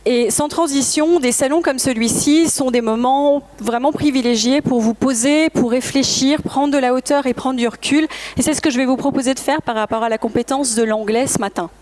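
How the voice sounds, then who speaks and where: A woman's voice: sits high, brisk, few pauses, darkish tone. A woman whose first language is English gives a presentation in French at a trade fair, speaking earnestly to her audience and sometimes correcting herself. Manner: earnest, motivational, addresses the audience directly; occasional self-corrections and hesitations. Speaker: conference speaker, language school founder. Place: talk at a professional trade fair.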